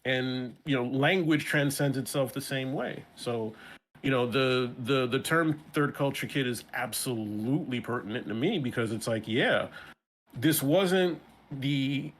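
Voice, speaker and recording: A man with an American New York accent, a guest in a casual interview chat, talking in an animated way and often restarting his words. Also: medium pitch, a little slow, a little hiss or hum, poor audio. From Brooklyn, New York.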